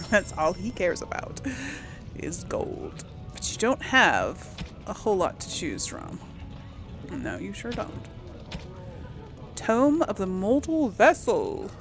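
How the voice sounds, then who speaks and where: A woman's voice: medium pitch, very animated, takes it slowly, pauses often, over music. A woman giving casual let's play commentary, thinking aloud and musing to herself as she plays. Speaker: gaming YouTuber.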